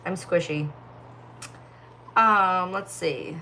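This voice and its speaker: A woman's voice: lowish voice, very slow, vocal fry, sharp tone, a little hiss or hum. A young woman chatting casually on a phone livestream, sleepy and yawning, with a distinctive voice and lots of filler words. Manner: rambling, heavy filler words. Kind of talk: casual live-stream chat reading comments.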